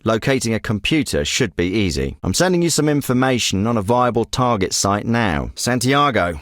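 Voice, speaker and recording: A man's voice: on the low side, big pitch swings, moderate pace, fairly bright tone, dry recording. A man voicing a middle-aged special forces handler in a video game, giving terse, businesslike briefings. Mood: urgent. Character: clipped, steady male military handler, middle-aged. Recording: dry close studio recording.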